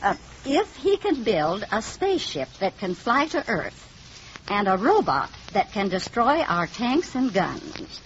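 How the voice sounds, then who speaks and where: An actress playing an excitable, nosy, fearful boarding-house landlady in a 1950s radio drama, fretful and exclamatory. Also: medium pitch, measured pace. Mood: alarmed, indignant. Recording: dull, hissy old radio recording.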